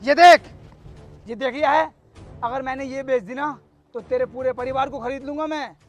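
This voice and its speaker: A very high-pitched male village braggart , acted by a man in a broad comedy sketch. He is crude, argumentative and quick to taunt, with music clearly playing behind him. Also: fairly even intonation. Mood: boastful, angry. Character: hot-headed, boastful village man feuding over a loudspeaker.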